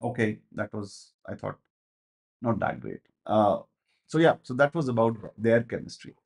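A man, reviewing a film in casual, animated talk, with many fillers and restarts, in a small home studio. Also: fairly low pitch, unhurried, vocal fry. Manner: animated, gestures a lot, thinks aloud with many fillers and restarts. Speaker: movie reviewer.

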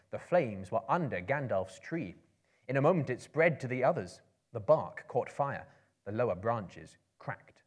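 A man in his thirties reads a tale aloud as a storyteller. His delivery is measured and theatrical, at a middle pitch, shaped like a live dramatic performance. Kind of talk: dramatic literary reading to a live audience. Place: indoor book-lined room, seated in an armchair.

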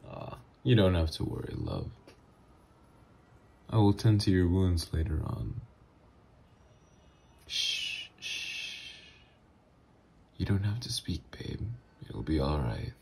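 Male actor as psychopathic, flirty villain ex for ASMR roleplay; dark, mellow tone with swooping intonation, smug and teasing. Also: low-pitched, sing-song, gravelly, slightly rough, full character voice. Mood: tender, soothing. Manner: smug, drawn-out, intimate teasing.

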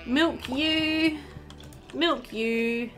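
A woman's voice: sits high, extremely slow, a bit bright, soft background music. A young woman doing casual, chatty let's play commentary, thinking aloud as she plays, indoors at a computer. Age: young adult. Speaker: gaming video host.

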